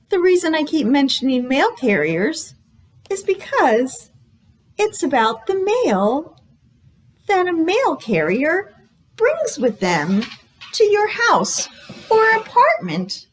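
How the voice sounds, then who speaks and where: High, very slow, animated woman reading to children. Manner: exaggerated, encouraging, asks questions with pauses for guesses. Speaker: children's librarian, storytime host. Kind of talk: read-aloud storytime for young children.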